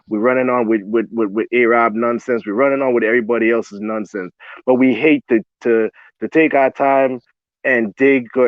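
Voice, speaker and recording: A man's voice: medium pitch, no echo. A man speaking on a panel, arguing emphatically, repeating words and saying bro often. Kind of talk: heated panel debate. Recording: tinny phone-like line.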